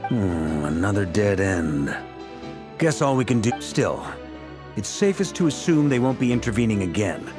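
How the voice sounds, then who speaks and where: A man's voice: lowish voice, big pitch swings, normal speed, over music. A man playing a shrewd, talkative knight leading a strategy meeting in a video game. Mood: musing, serious.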